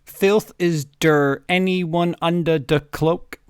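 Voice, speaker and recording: A man acting out angry story characters from fan fiction in an exaggerated, loud, mock-dramatic way. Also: fairly high pitch, steady tone, slow, clear, resonant tone, dry recording. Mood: suspicious, demanding.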